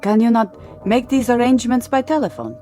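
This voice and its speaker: An actress playing a concerned housekeeper in a radio drama, polite, deferential and hesitant. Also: female voice, fairly high pitch, animated, fairly quick, mellow, soft background music, natural voice. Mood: concerned, puzzled.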